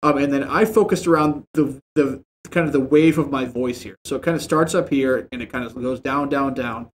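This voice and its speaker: A man's voice: medium pitch, normal speed, slight background noise. Young man talking through a mic tutorial. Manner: relaxed, rambling explanations with fillers. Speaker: streamer explaining mic settings. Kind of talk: casual screen-share tutorial. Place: small home streaming room, indoors. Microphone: desk mic about a foot and a half away, headset on.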